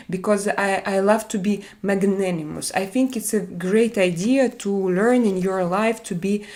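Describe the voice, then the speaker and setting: A Russian woman with a Russian accent, hosting a casual live-stream chat in English, her second language, often pausing with "uh" as she searches for words. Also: medium pitch, a little mellow. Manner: halting, frequent "uh" fillers while searching for English words.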